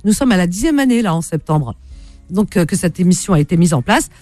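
A woman's voice: fairly low pitch, lively intonation, fairly quick, mellow, no echo, faint music behind. A woman hosting a radio call-in, chatting casually and familiarly, often repeating back what she is told. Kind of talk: casual live radio chat.

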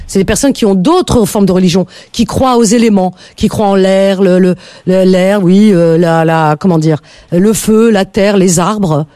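A woman's voice: mid-range voice, measured pace, warm tone, no room sound. Radio host, woman, chatty and emphatic, dry close studio sound. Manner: chatty, emphatic, repeats words when worked up. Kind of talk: late-night call-in radio chat.

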